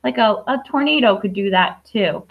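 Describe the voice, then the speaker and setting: A woman's voice: medium pitch, normal speed, creaky, narrow, tinny audio. A young adult woman teacher reading a picture book aloud to young children, explaining simply with questions and asides. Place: classroom.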